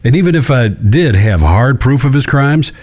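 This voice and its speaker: An actor playing a shrewd, courteous judge who speaks in a measured, genteel way in a western audio drama. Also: male voice, mid-range voice, lively intonation, darkish tone, lightly acted. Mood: measured, persuasive. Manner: measured, genteel, deliberate with careful pauses. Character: shrewd, courteous Old West male judge.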